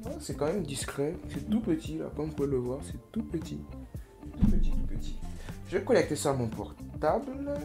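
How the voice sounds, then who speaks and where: A young man talks through an unboxing at a relaxed, middle pitch, musing aloud as he goes. His delivery is slangy and self-mocking. Clear background music runs under his voice the whole time. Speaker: YouTuber. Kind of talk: casual unboxing vlog.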